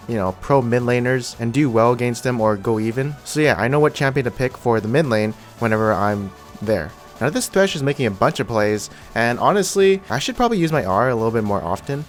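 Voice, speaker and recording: A young man with a cold, talking through his own gameplay in a casual, rambling voice-over as a gaming creator, often criticizing his own mistakes. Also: mid-range voice. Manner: laid-back, self-critical, rambling. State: coming down with a cold. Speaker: gaming YouTuber.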